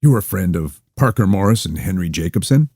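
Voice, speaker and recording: A man's voice: deep voice, lively intonation, brisk, dark tone, husky, no room sound, studio quality, exaggerated voice. A man voicing a tired, skeptical police detective who questions bluntly. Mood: questioning. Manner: blunt, matter-of-fact questioning.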